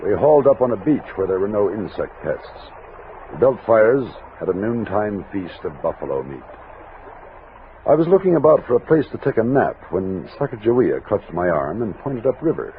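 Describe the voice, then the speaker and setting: A man's voice: on the low side, very animated, normal speed, background noise. An American man playing a commanding frontier expedition captain who narrates his journey in a 1960s radio drama. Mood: measured, recounting. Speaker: lead actor and narrator. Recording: narrow-band old radio recording, music behind.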